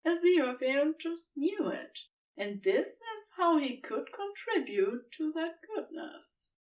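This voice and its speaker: A woman's voice: high voice, big pitch swings, takes it slowly, recorded from a distance, poor audio. A woman reading scripted fiction as a storybook narrator.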